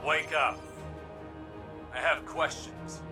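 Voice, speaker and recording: An actor playing a brash, impatient warrior-scholar in a video game, speaking in an intense, commanding, accusatory way. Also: male voice, on the high side, steady tone, slow, distant mic, music in the background. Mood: accusing, stern. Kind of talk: scripted video game dialogue.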